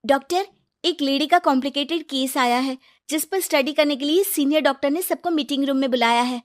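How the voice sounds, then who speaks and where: A woman doing a brisk young nurse. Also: sits high, voice pushed up, close to an everyday voice. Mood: worried.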